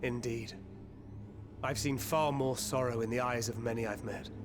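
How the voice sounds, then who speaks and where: A man playing a world-weary, regretful, stoic warrior in a video game, speaking gravely. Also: medium pitch, fairly even intonation, moderate pace, noisy background, natural voice. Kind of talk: video game cutscene acting.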